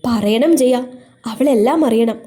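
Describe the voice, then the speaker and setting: A woman voicing a steady middle-aged male family friend who speaks in a firm, reassuring way. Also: a little high, slow. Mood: calm.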